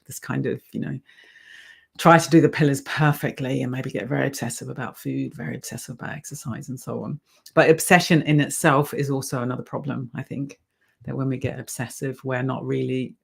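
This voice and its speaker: A man on a video call panel, speaking in a thoughtful, measured way as a mindfulness teacher. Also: mid-range voice, vocal fry. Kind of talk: reflective panel talk.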